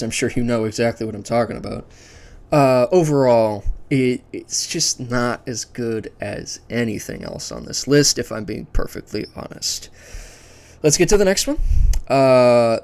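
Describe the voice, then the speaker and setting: A man's voice: mid-range voice, darkish tone. A man hosting a ranking video in a casual, unscripted way, thinking aloud conversationally and sharing his opinions. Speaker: video host ranking a series list.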